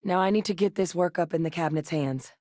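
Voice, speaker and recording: Actress as game heroine, woman scientist-soldier, lowish voice, earnest, straight-talking, dry wit, dry studio sound. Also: quick pace, a little mellow, no room sound, lightly acted. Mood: determined. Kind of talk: video game voice acting.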